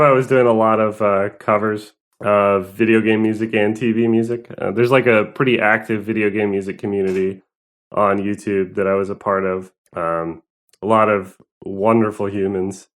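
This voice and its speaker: A man's voice: lowish voice, fairly even intonation, normal speed. Rambling young man. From Southern California. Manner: rambling, hesitant, with many fillers and laughs. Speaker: guest musician. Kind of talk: casual interview.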